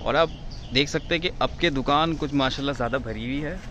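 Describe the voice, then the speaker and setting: A man, talking casually and warmly to his viewers in an even, mid-pitched voice. He sounds like a market vlogger on the move. Outdoor street noise sits faintly behind him. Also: fast talker. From Pakistan. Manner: friendly, addresses viewers directly. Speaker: vlogger host. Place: busy market outdoors and in a shop.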